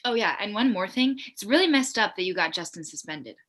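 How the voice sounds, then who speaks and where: A woman's voice: medium pitch, lively intonation, fairly quick, fairly bright tone. A woman playing an angry, blunt teenage ex-girlfriend in a comedy table read, accusing, with casual teen phrasing.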